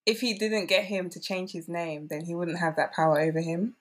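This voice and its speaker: A woman's voice: fairly low pitch, flat delivery, brisk. A woman, telling stories casually as a podcast guest and peppering her speech with "like". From England. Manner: chatty, peppered with "like". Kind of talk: casual conversational storytelling.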